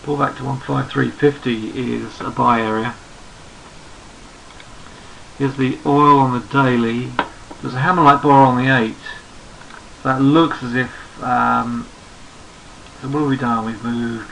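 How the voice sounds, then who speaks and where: A man with a gravelly, croaky voice walks through trading charts. He is losing his voice and sounds hoarse. He speaks at a relaxed pace, thinking aloud and repeating himself as he goes. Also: mid-range voice, slow pace, long pauses, a little hiss or hum, poor audio. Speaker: trading analyst. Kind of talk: screen-recorded market chart commentary.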